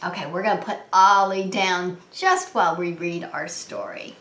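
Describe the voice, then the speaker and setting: A woman's voice: fairly low pitch, steady tone, normal speed, a bit bright. A woman hosting a preschool story time, talking to young children in a sing-song way with simple questions. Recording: roomy, echoey room sound.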